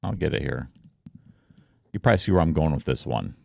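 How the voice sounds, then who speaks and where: Man, very low voice, casual streamer explainer, informal, close dry microphone sound. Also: lively intonation, brisk, gravelly, a little mellow, no room sound. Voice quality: deep voice. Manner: informal, explanatory, demonstrating as he goes. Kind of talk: casual explainer talk to camera. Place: treated room at home. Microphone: close foam-covered microphone, slightly offset from mouth.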